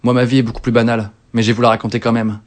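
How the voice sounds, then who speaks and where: A young man from northern France telling his life story with playful, self-mocking humour and quick asides. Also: medium pitch, level tone, a little mellow. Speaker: YouTuber. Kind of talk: comic life-story narration. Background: background music.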